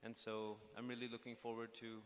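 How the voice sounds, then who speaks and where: A man's voice: medium pitch, completely flat delivery, brisk, a bit bright. Hesitant man speaking on a conference stage. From Pakistan (born in the United States). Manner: hesitant, many fillers and restarts. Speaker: panel speaker, documentary filmmaker. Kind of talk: conference panel talk. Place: conference stage in a large hall. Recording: hall reverb with faint ambience.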